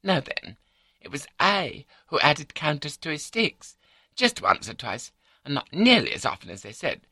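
Elderly Scottish wife: high, lilting, prim and scatterbrained, audiobook character. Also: male voice, huge pitch swings, a little slow, vocal fry, bright, forceful tone, husky, no room sound, clean studio sound, heavily acted. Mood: placid, confiding. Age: older. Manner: prattling, primly matter-of-fact.

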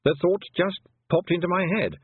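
A talking toad, voiced by a man reading aloud that sounds dry and lawyerly, with every point made matter-of-factly. The voice is husky and dry, and it comes through a tinny phone-like sound. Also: high-pitched, sing-song, moderate pace, no room sound, voice pushed up, heavily acted.

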